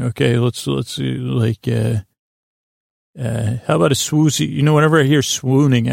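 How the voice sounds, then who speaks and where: A man hosting a sleep podcast in a meandering monologue, stumbling over words, circling back and gently goofy and self-deprecating. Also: mid-range voice, gravelly, no room sound, clean studio sound. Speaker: podcast host.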